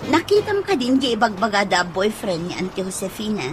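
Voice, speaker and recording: A woman playing a fretful adult daughter in an Ilocano radio comedy drama, speaking in an animated way. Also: mid-range voice, fairly quick, over music, natural voice. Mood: indignant. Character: fretful adult daughter, a gossip-troubled nurse. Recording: radio drama with clear background noise.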